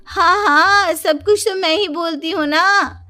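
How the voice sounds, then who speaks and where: A woman's voice: very high voice, slow, pushed and bright, faint music behind, heavily acted. A woman playing a self-pitying, boastful young Indian daughter-in-law in a cartoon family drama, in a theatrical, whining manner. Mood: sarcastic, aggrieved.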